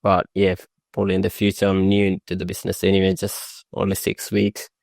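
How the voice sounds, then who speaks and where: A young man with a fairly low voice, speaking English as a second language. His sentences come out halting and unpolished, with restarts along the way. The recording is close and dry, with no room sound. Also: monotone, creaky, a little mellow, no echo. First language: not English. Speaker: new mowing franchisee. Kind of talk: casual interview.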